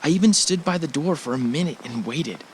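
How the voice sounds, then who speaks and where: A man's voice: fairly high pitch, animated, fairly quick, a little hiss or hum, natural voice, poor audio. A man playing a wary, reluctant partner in an audio drama, in an everyday voice. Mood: uneasy, baffled. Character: wary, reluctant male partner of an adventurous woman.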